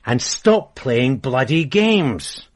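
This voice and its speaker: An actor playing a persistent police sergeant, blunt and probing in a radio drama. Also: male voice, medium pitch, drawn-out words. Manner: probing, blunt, lively delivery. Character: persistent police sergeant, middle-aged man.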